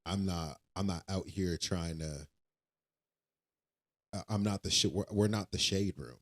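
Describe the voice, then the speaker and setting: A man's voice: low voice, unhurried. A young man co-hosting a casual faith-based podcast, earnest and reassuring, often repeating himself as he works through a thought. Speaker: podcast co-host.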